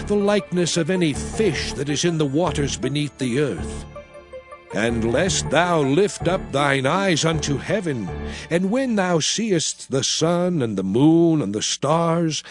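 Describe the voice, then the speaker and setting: An actor playing Moses as a solemn prophet exhorting his people, in emphatic, archaic oratory for a dramatized scripture recording. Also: on the high side. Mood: stern warning. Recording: voice over a music bed.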